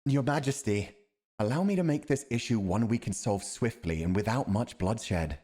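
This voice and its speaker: A man's voice: on the low side, lively intonation, moderate pace, dark tone, husky. A man acting a principled, earnest army commander advising a monarch in a fantasy audio roleplay, speaking in a formal, emphatic, righteous way. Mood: resolute.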